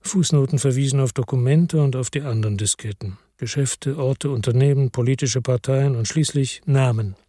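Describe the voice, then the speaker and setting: An actor plays a weary detective with a husky voice, recorded dry and close. He narrates in a low, brooding tone and turns harsh when he argues. It sounds like a radio crime drama. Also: normal speed, hardly any pauses, dark tone, dry recording, close to an everyday voice. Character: weary middle-aged male detective narrator.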